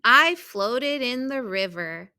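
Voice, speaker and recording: Lady reading as a helpful, overworked egg in a kids book, highish voice, really expressive. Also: heavily acted. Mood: reflective. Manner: expressive, deliberate read-aloud delivery with emphatic exclamations. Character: earnest, helpful, overburdened egg telling its own story. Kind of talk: children's picture book storytelling.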